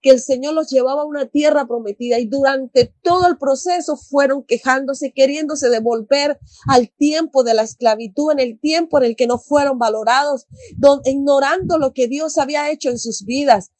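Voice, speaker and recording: High-voiced woman giving earnest testimony. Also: moderate pace, a little mellow, no room sound. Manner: earnest, exhorting. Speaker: church member giving a testimony. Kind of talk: devotional personal testimony.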